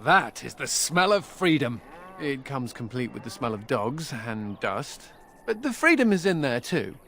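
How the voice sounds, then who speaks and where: An actor playing a sardonic escaped mage in a video game, glib and self-amused with a bitter edge. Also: male voice, medium pitch, big pitch swings, measured pace, bright, forceful tone, exaggerated voice. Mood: wry, musing. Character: wry male mage and escaped prisoner, playful yet bitter. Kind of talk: video game character dialogue.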